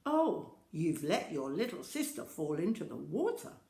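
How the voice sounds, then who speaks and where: A strict storybook mother, read by an older woman, firm and admonishing. Her voice is fairly low with animated swings in pitch, heard in an echoey room. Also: unhurried, creaky, heavily acted. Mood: scolding. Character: strict mother warning and scolding her daughter. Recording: roomy, echoing home-style recording.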